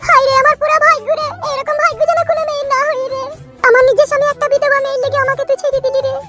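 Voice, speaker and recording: A woman voicing a wary, wronged young village wife in a comic cartoon, squeaky and protesting. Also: level tone, racing, a bit bright. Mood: lamenting. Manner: plaintive, protesting. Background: music.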